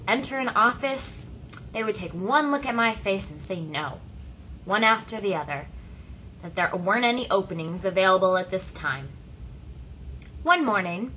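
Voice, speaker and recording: A woman voicing a first-person story as an interpreter, speaking deliberately in short phrases with dramatic pauses. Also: mid-range voice, pushed and bright, a little hiss or hum, low-quality recording.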